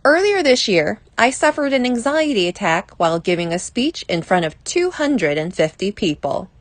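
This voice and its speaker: A woman reading a personal advice essay aloud as scripted narration, in a measured, deliberate way. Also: mid-range voice, big pitch swings, dry recording.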